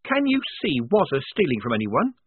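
A man reading an audiobook, voicing a quick-witted young woman soldier who talks tensely and insistently. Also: fairly high pitch, huge pitch swings, slightly rough, dry recording, pitched above the performer's own voice, heavily acted. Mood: indignant. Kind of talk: audiobook character voice. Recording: dry, tinny phone-like sound.

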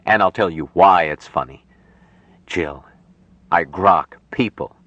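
A man voicing an earnest, tender young man raised apart from humans, speaking slowly and formally in an audiobook story. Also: deep voice, a bit bright, dull audio, heavily acted. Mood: earnest, elated. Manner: slow, deliberate, formal phrasing.

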